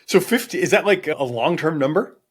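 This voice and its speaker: A man's voice: a little high, expressive, a little fast, slightly rough. A man interviewing as a podcast host, informal and thinking aloud as he forms his questions. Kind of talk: conversational interview.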